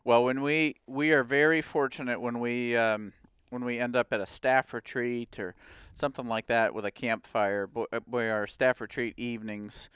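Very clear, dry-voiced male podcast host. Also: mid-range voice, steady tone, a bit bright, no room sound. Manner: friendly, many fillers and restarts, quick acknowledgments. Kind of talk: podcast interview.

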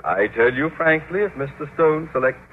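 An American actor playing a pompous, threatening middle-aged school principal in a formal, blustering radio sitcom style. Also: male voice, a little high, animated, moderate pace, lightly acted. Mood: menacing, pompous. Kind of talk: 1940s radio sitcom acting. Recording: tinny, noisy vintage radio recording.

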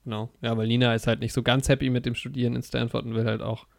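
A man's voice: mid-range voice, flat delivery, fast, creaky. A man chatting casually about a film, enthusiastic and rambling, with many fillers and restarts. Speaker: podcast co-host.

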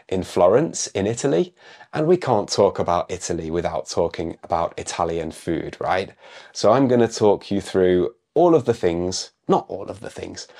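A man with a croaky voice from a cold, hosting a podcast lesson and explaining words clearly. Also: sits low, darkish tone. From England. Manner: clear, explaining teacher's delivery. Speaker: English teacher and podcast host.

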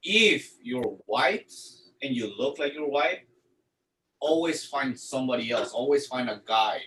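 A man from Ecuador talks casually and animatedly, slipping into quick impressions of the shopkeepers he describes. He sits back from the mic in a roomy space with echo and faint background hiss. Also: mid-range voice. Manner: animated, acts out quoted locals. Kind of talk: casual chat. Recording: echoey room, mic at a distance.

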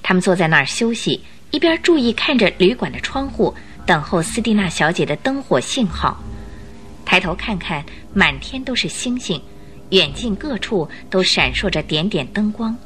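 A woman narrating a radio drama, telling the story in an expressive, suspenseful scripted style. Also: mid-range voice, moderate pace, faint music behind.

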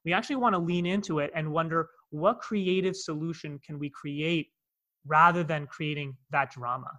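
Man, Canadian accent, coach, bright voice, slightly high, earnest podcast storytelling. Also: moderate pace, lo-fi sound. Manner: earnest, storytelling with many asides. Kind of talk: podcast conversation. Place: in Cape Town, South Africa.

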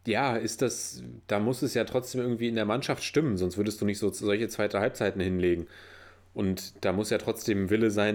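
A man's voice: lowish voice, darkish tone. A man chatting casually about football, pushing back and asking pointed questions.

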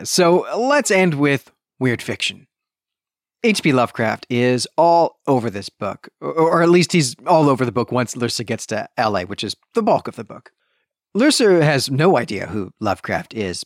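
Male book podcast host, mid-pitched voice, conversational and enthusiastic, self-correcting as he goes, close dry recording. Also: dry recording. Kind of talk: book discussion monologue.

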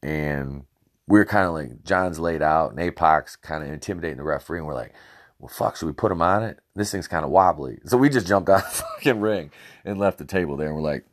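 A man hosting a podcast, telling rambling, swear-filled stories about his time as a ring announcer, with lots of fillers and restarts, recorded on a cheap home microphone. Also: a little fast. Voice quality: very deep voice. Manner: laughs at his own stories. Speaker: podcast host and former wrestling ring announcer. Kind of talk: casual podcast storytelling.

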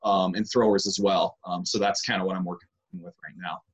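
A man's voice: low voice, husky, lo-fi sound. Easygoing man in his thirties. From British Columbia, Canada. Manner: easygoing, unhurried, lots of pauses. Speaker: strength and conditioning coach, guest. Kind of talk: casual interview, recounting his career.

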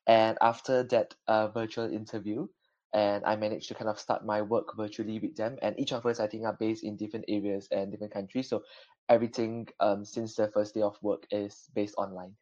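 A young man answers as a webinar panelist. His low voice hardly rises or falls, and he hedges often with filler phrases. The sound is dull and lo-fi. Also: level tone, brisk, a little mellow. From Malaysia. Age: young adult. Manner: hesitant, many fillers like "kind of". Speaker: panelist, final-year law student.

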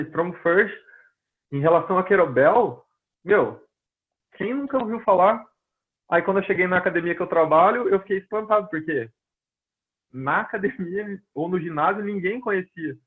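A man's voice: high-pitched, expressive, normal speed, husky. A man from Brazil with a Brazilian Portuguese accent, a personal trainer telling stories from his work in a casual interview and acting out the dialogue he quotes. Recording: tinny phone-line audio.

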